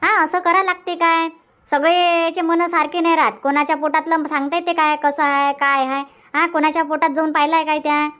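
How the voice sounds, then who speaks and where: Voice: high voice, little pitch movement, brisk, sharp tone, dull audio. A cartoon performer voicing an opinionated village auntie, emphatic, repetitive and scolding. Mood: scolding, knowing. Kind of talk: Marathi cartoon comedy dubbing.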